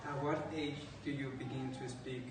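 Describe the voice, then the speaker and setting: A man's voice: medium pitch, steady tone, normal speed. A young man interviewing a teacher, asking brief formal questions in a school setting. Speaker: student interviewer. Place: school library with bookshelves, indoors. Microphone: far camera across a desk. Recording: echoey room from a distance, audible noise.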